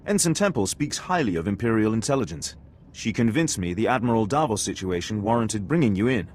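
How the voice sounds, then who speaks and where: A man's voice: fairly low pitch, big pitch swings, fairly quick, darkish tone, a little hiss or hum. An actor playing a stern, dignified aristocratic male alien base commander in a video game. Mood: grave, measured.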